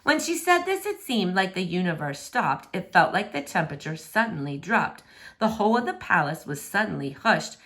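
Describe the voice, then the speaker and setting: A woman narrating a rhyming children's story aloud in an expressive style. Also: lowish voice, normal speed.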